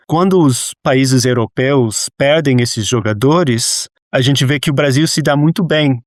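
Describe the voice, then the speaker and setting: A man's voice: mid-range voice, clean tone, no room sound, clean studio sound. A man with an American accent speaking Portuguese as a guest researcher, answering interview questions hesitantly with many restarts and fillers. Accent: American English. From Brazil and the United States.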